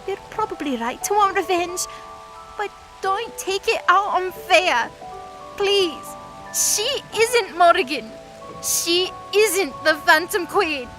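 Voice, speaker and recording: A woman plays an earnest young winged girl of a fantasy audio drama. Her voice sits very high and pleads with desperate emphasis. Soft music runs behind her. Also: full character voice, poor audio. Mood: pleading.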